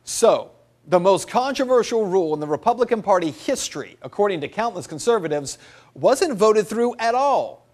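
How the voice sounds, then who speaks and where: A man's voice: high voice, very animated, normal speed, a little mellow. A man reporting a scripted TV news segment in a studio, with a pointed, skeptical delivery.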